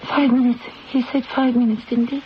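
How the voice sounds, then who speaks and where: A woman acting a proud, condemned French queen in a radio drama, with a regal, theatrical, deliberate delivery. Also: a little high, flat delivery, close to an everyday voice. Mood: proud, strained. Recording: muffled old radio recording, faint noise.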